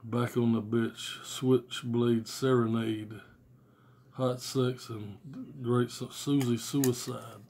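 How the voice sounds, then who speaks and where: An American man in his forties talks casually in a small room at home. His voice sits at an average pitch, and his delivery is blunt, slangy and profane. The phone recording carries faint noise and clicks. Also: little pitch movement, slow, gravelly, darkish tone. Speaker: music fan reviewing albums on video. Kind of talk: casual unscripted vlog chat. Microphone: phone or tablet at arm's length.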